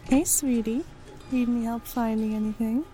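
A woman playing a flirtatious general store keeper in an audio drama, lingering suggestively on her words and dropping into breathy murmurs. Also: a little high, steady tone, warm tone, lightly acted, rough recording. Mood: flirtatious, teasing. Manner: slow, lingering, innuendo-laden delivery.

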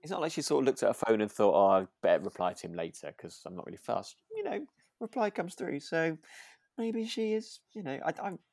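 A British man co-hosting a podcast in relaxed, casual chat, musing and often correcting himself as he goes. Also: a little high, fairly quick, vocal fry, no echo, clean studio sound. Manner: hesitant, musing, self-correcting. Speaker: podcast co-host. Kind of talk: relaxed casual chat about a radio drama.

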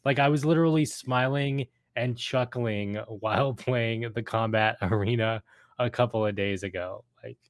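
A man's voice: medium pitch, moderate pace, dry recording, studio quality. A man co-hosting a casual gaming podcast, talking enthusiastically in a rambling way and repeating phrases for emphasis. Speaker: podcast co-host.

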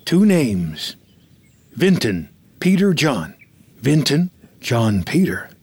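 A man with a husky voice reading scripted, present-tense screenplay narration as a storyteller. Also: medium pitch, very animated, slow pace, a little mellow.

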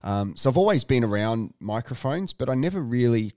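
A middle-aged Australian man with a booming voice, chatting as a podcast guest in an animated, jokey way. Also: fairly quick, no room sound, clean studio sound. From Australia (Tasmania). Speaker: guest, sports announcer. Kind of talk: casual podcast chat. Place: podcast studio.

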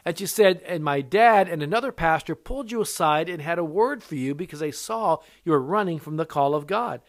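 A man's voice: lively intonation, a little fast, no echo, clean studio sound. An older man, born in Japan and raised in America, preaching an earnest sermon as an evangelist, his voice rising sharply when he stresses a point. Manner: earnest preaching that rises in pitch on emphasis. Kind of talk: sermon teaching with personal testimony.

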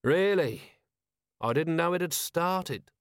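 A man's voice: on the high side, expressive, slow, smooth, dry recording, clean studio sound, voice pushed up. A man playing a wry, weary city watch commander in an audiobook, speaking in a sardonic, clipped way. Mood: weary irony.

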